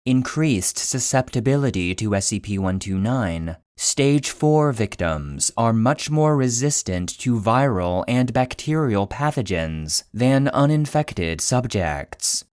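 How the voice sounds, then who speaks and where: Male podcast narrator: unhurried, serious, clinical delivery of scripted documents, dry studio sound. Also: on the low side, animated, darkish tone, no room sound. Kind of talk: scripted narration of fictional clinical case file.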